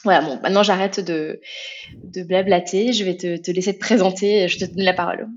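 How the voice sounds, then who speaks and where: A woman's voice: lowish voice, fairly even intonation, brisk. Lady running a casual interview, lots of euhs, kinda dull recording. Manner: friendly, hesitant with many fillers. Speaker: host, company founder.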